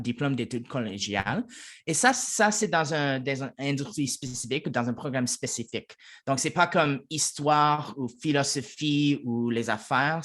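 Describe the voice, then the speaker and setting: A man with an English-speaking Canadian accent presents in a language that is not his first. His voice sits at a middle pitch, and he hesitates, filling gaps and circling back over words as he explains. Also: fairly bright tone. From Toronto, Canada. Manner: hesitant, many fillers and restarts in his second language. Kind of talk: informational presentation.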